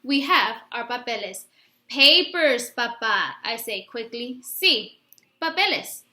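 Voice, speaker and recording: A woman reading a picture book aloud in an expressive, instructive way, like a teacher addressing young listeners. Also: fairly high pitch, slow. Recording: roomy, echoey room sound.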